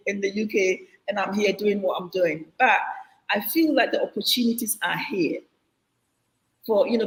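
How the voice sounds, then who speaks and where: Earnest Ghanaian woman, echoey room. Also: mid-range voice. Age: middle-aged. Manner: earnest, reflective, with frequent restarts. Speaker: guest. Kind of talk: interview answer. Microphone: video call.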